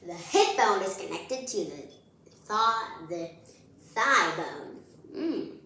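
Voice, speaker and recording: A woman reading a picture book aloud to children in an expressive, playful way, speaking directly to her young listeners. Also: medium pitch, a little hiss or hum. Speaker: children's read-aloud host. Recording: very echoey room.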